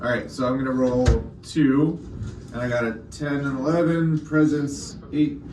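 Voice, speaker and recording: A man's voice: mid-range voice, fairly even intonation, moderate pace, darkish tone. A man playing a tabletop role-playing game, trading casual improvised banter. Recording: echoey, distant mic, faint noise.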